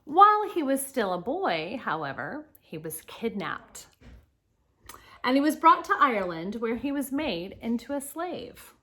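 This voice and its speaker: A woman's voice: fairly high pitch, big pitch swings, measured pace, pushed and bright. A woman teacher reading a picture book aloud to children, explaining things and speaking to her young listeners directly with casual asides.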